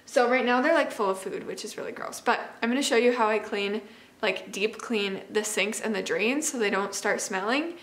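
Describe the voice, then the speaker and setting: A woman's voice: fairly high pitch, normal speed, a bit bright. A woman chats casually to camera as a homemaking vlogger, informal, rambling and self-deprecating. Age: 20s. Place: home kitchen, indoors. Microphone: camera microphone, about arm's length or further. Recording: echoey open kitchen room.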